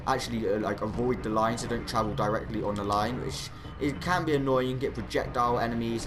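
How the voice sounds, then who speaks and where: A young man with a stuffed nose giving chatty, rambling commentary over his gameplay, explaining tower placement tips. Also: lowish voice. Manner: chatty, rambling, lots of "like" and restarts. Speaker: gaming channel host.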